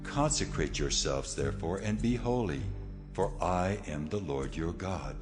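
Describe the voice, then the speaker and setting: An actor playing God as a solemn, measured, commanding lawgiver in a dramatized scripture reading. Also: male voice, deep voice, expressive. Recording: faint hall reverb, music underneath. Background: music.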